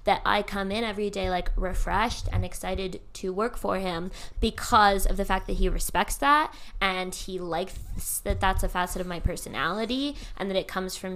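A woman's voice: mid-range voice, sharp tone. Wry, teasing young woman co-host. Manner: dry, teasing, wry jokes. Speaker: podcast co-host. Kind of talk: casual chat.

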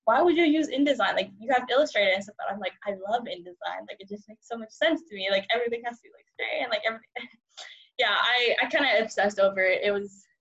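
A woman's voice: a little high, lively intonation, fast talker, fairly bright tone, highs cut off. Chatty young woman, giggly rambling. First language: Cantonese. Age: 20s. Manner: rambling, upbeat, laughs often, many "like" fillers. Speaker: journalism student guest. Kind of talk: casual interview chat.

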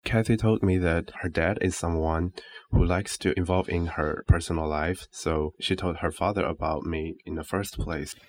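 Deep-voiced young man, reflecting casually. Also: steady tone, moderate pace. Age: young adult. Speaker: interview guest.

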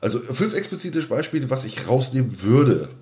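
A man's voice: mid-range voice, big pitch swings, normal speed, a little mellow. Hesitant man chatting on a podcast. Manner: hesitant, roundabout, repeats himself. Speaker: podcast co-host. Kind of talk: casual sci-fi fan chat.

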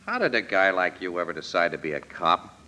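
Wisecracking american crime photographer, bright punchy voice, swings up and down, old radio show. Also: male voice, low voice, big pitch swings, a little fast, heavily acted. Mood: wry, casual. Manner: slangy, breezy, wry. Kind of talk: old-time radio crime drama acting.

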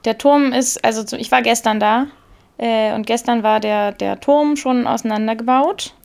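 A woman co-hosting a casual podcast chat about a gym, quick-witted and teasing. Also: a little high, creaky, a little mellow. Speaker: podcast co-host, gym trainer.

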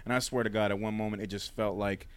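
A man's voice: fairly low pitch, flat delivery, quick pace, clear, resonant tone. A young adult man co-hosting a podcast, telling stories in a laid-back, profane, casual way. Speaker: podcast co-host. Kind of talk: casual chat. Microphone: close studio mic.

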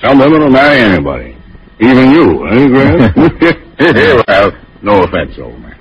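An actor playing a jovial, blustery company boss in an old-time radio drama, hearty and fond of repeating himself. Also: male voice, medium pitch, wildly swooping pitch, normal speed. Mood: teasing. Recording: muffled, faintly noisy old radio recording.

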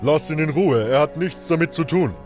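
A narrator acts out a hot-tempered male warrior in a fantasy audiobook. He is blunt and urgent, with his pitch pushed up over a music bed. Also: animated, normal speed, a little mellow, close to an everyday voice. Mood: angry, urgent. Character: gruff male fighter, loyal, hot-tempered protector. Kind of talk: audiobook character dialogue.

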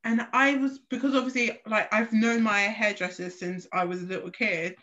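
A woman's voice: medium pitch, steady tone, moderate pace, sharp tone. A young woman, telling a story in a casual podcast chat and often restarting her sentences. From England. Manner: chatty storyteller, restarts a lot. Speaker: podcast co-host. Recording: slightly muffled lo-fi recording.

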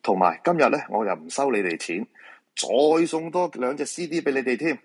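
A man's voice: mid-range voice, a little fast, natural voice. A man playing a polite, patient feng shui master in a radio drama, explaining things reassuringly with a Hong Kong Cantonese accent. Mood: calm, reassuring.

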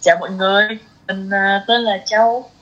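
A woman's voice: medium pitch, steady tone, a little fast, a bit bright. A woman in her twenties with a southern Vietnamese accent, chatting casually as a podcast guest, hesitant and thinking aloud. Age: 20s. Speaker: podcast guest, young doctor. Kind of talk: casual chat. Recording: roomy echo, dull sound, light noise.

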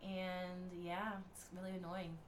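A woman's voice: fairly low pitch, flat delivery, unhurried, slight background noise. Twenty-something woman, chatty, lots of "like", kinda fed up. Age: 20s. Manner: chatty, says "like" a lot, exasperated. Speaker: co-host. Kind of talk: casual podcast chat.

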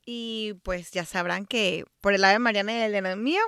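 A woman's voice: mid-range voice, steady tone, normal speed, fairly bright tone, smooth, no echo, clean studio sound. A woman co-hosting a casual podcast, explaining things emphatically and dropping in the odd English word. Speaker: podcast co-host. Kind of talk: casual conversational podcast talk.

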